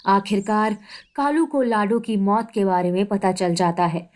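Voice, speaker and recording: A woman's voice: medium pitch, a little slow, a little mellow. A woman narrating a scripted children's story.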